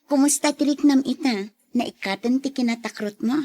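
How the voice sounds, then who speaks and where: A woman's voice: high voice, normal speed, mellow. A woman playing a frank, concerned older sister in a radio drama, speaking in an advising way.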